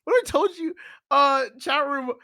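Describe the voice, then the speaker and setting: Excitable male commentator, high and bright, stammering repeats, dry sound. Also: unhurried, dry recording. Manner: stammering, repeats words over and over. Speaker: voice actor on a fandub commentary. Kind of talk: excited casual group commentary.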